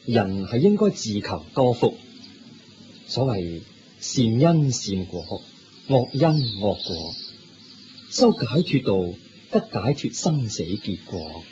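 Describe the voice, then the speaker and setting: An actor with a Hong Kong Cantonese accent playing the Buddha as a serene, kindly teacher in a radio drama, speaking in a measured, reassuring way. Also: male voice, fairly low pitch, very animated, background noise. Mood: solemn teaching. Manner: measured, instructive, gently reassuring. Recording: muffled lo-fi radio recording, faint music.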